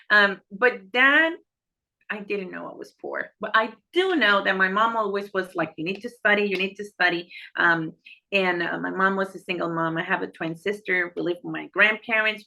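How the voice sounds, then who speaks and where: Casting: middle-aged Puerto Rican-accented woman, Spanish native, earnest conversational interviewee who doubles back on words, very bright and forward voice. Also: mid-range voice. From Puerto Rico. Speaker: interview guest, neurologist and medical director. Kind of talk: conversational interview answers about life and work.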